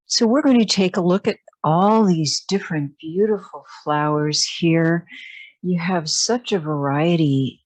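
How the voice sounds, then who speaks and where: A woman's voice: lowish voice, dry recording. A woman hosting a podcast, interviewing a guest and praising her work effusively. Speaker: podcast host.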